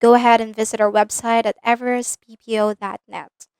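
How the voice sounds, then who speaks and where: A woman with a Filipino accent walks the viewer through a business lesson, speaking directly and pausing to check that they follow. Her voice sits a little high, and the close mic gives a dry sound with no room echo. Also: flat delivery, a little mellow, clean tone. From Philippines. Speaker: business coach. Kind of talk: scripted instructional video.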